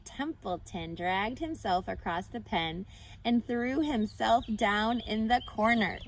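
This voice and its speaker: A woman reading a children's story aloud in an expressive narrating style. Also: mid-range voice, a little hiss or hum. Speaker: audiobook reader.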